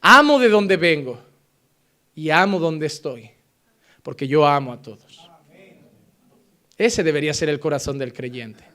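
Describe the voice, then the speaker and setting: A man with a Spain Spanish accent delivers an impassioned sermon in a notably high voice. He presses his points with loud emphasis, then sinks into quiet, earnest passages. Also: animated, measured pace, long pauses. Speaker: preacher.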